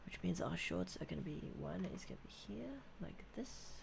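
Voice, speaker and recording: A woman streaming as she draws, talking to her chat in a soft, relaxing, murmuring way, often half-whispering at a desk microphone. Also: lowish voice, animated, moderate pace, vocal fry, noisy background. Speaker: streamer drawing fan art. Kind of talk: casual live-stream chat while drawing. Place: at a desk at home.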